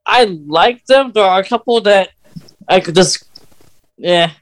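A man's voice: high voice, animated, measured pace. A man hosting a podcast, talking in a casual fan-chat style. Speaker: podcast host.

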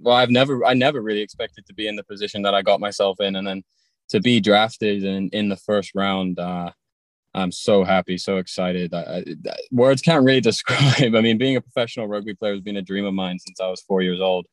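A young man raised in Thailand and England, speaking humbly and excitedly with many hesitations, on a call from his car. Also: lowish voice, normal speed, no echo. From Thailand, raised partly in England. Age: young adult. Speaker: guest, drafted rugby player. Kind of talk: phone interview from his car.

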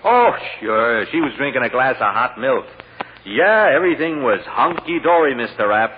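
A man's voice: medium pitch, animated, moderate pace, a little hiss or hum, natural voice. An actor playing a folksy, easygoing male witness with slangy talk in an old radio drama. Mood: cheerfully casual. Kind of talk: 1940s-50s radio drama acting. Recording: tinny, reverberant old radio recording.

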